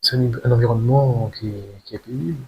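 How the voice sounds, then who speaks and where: A young man from talks through his thoughts in an unscripted monologue. His delivery is halting and earnest, with frequent repeated words and emphatic bursts. The pitch is mid-range for a man, and a light hiss runs through the amateur recording. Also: steady tone, highs cut off. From French Guiana. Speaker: video creator telling his own story.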